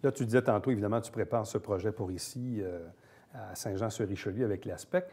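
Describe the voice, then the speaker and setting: A man's voice: fairly low pitch, fairly quick, warm tone, husky. A man with a Quebec French accent conducting a casual, conversational interview.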